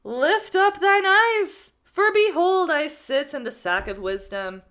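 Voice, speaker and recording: A pompous man stuck in a sack, declaiming his wisdom in slow, grand, archaic tones, as a woman puts him on for a fairy-tale reading. The voice rides well above her usual pitch, loud and deliberately theatrical. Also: expressive, heavily acted. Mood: grandiose.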